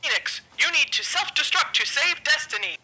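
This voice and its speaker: A woman's voice: high voice, animated, moderate pace, noisy background. A woman playing a bossy, scatterbrained, exasperated talking book in a comic sci-fi audio drama.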